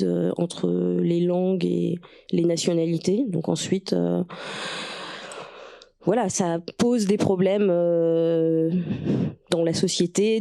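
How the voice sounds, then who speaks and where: A woman author from Russia, a native Russian speaker, with a gravelly, dark and mellow voice. Her pitch stays flat and even as she reads her own writing steadily, then turns hesitant and searching with many pauses and fillers when answering questions.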